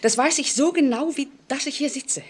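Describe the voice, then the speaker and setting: An actress playing an insistent, distraught daughter of a murder victim in a 1940s radio crime drama, hesitant and emotional, on an old crackly recording. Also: female voice, high-pitched, normal speed, lightly acted. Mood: agitated, insistent.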